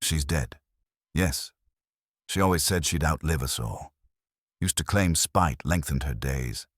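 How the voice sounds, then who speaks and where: Man reading as guarded old deep-voiced councillor. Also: breathy edge, no echo, clean studio sound, exaggerated voice. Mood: guarded, rueful. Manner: halting, guarded, terse. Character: reclusive, weary old retired councillor, guarded and evasive. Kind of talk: audiobook detective mystery character reading.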